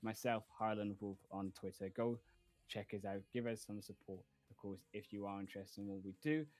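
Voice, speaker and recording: A man's voice: on the low side, a little fast, a little mellow, no room sound. A man casting esports, analyzing teams fluently and confidently and closing the broadcast. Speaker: esports caster.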